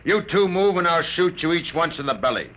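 An actor playing a smug, gun-wielding ship's officer in an old radio drama, mocking and threatening. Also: male voice, on the high side, big pitch swings, fairly quick, sharp tone, poor audio. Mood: mocking, menacing.

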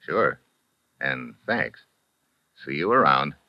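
A man's voice: very deep voice, big pitch swings, slow pace, loud music behind the voice, heavily acted. A man playing a tough, wry investigator in a vintage radio drama, talking in short laconic lines. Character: tough wisecracking male private investigator. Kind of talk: 1940s radio acting. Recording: dull vintage radio recording.

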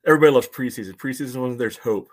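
A man's voice: mid-range voice, racing. A man co-hosting a sports fan podcast, chatting casually in a wry, frank, hesitant way. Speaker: co-host of a soccer fan podcast.

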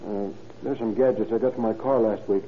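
A man's voice: on the low side, steady tone, brisk, close to an everyday voice. An actor playing an evasive, easily agitated young American man under suspicion in an old-time radio crime drama, deferential but defensive. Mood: guarded. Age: young adult. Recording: muffled, noisy vintage radio recording.

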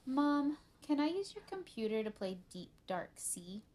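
Woman doing an eager, pleading schoolboy, pitched up. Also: unhurried, exaggerated voice. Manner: pleading, excitable. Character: eager, anxious young schoolboy, prone to pleading. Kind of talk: children's storybook character voice.

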